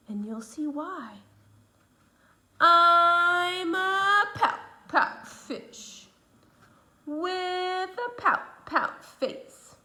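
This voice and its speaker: A woman voicing a glum, sulky male fish in a children's storybook read-aloud, drawing out a slow sing-song refrain. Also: very high voice, bright, forceful tone, voice pushed up, heavily acted.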